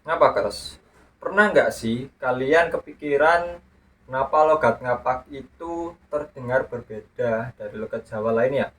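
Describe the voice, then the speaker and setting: A man's voice: mid-range voice, a bit bright. A man hosting a podcast, narrating a script in a chatty way that speaks straight to his listeners. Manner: chatty, addresses listeners directly. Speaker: podcast host. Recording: distant mic with music underneath. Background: guitar music.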